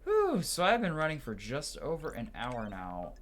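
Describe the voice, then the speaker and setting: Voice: medium pitch, huge pitch swings, moderate pace. A streamer giving casual commentary while playing through a video game and reading bits of it aloud. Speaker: let's play streamer. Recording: loud game music behind voice. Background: game music, typing.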